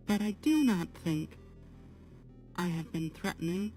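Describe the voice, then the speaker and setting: Earnest female AI streamer, acted by a woman, halting formal delivery at a very unhurried pace, soft music underneath. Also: lowish voice, lively intonation. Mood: earnest, apologetic. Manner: measured, halting, formal, avoiding contractions. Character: polite artificial-intelligence female assistant, apologetic and gently earnest. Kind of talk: slow guided talk to a live chat.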